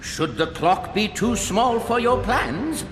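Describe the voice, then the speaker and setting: A game's alien mentor leaving a last message in a vast temple, slow and lilting, music swelling. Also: male voice, high-pitched, expressive. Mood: proud, tender. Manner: measured, solemn, gently wry. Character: wise, proud, kindly alien father. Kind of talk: scripted game cutscene monologue. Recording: long hall reverb, loud music behind.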